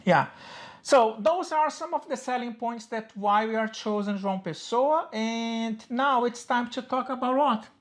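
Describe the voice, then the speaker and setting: A woman's voice: on the high side, a little slow. A woman from Brazil with a Brazilian Portuguese accent, a native Portuguese speaker co-hosting a personal finance video in casual, upbeat chat with small grammar slips. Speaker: co-host of a personal finance channel. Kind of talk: casual explanatory chat to camera.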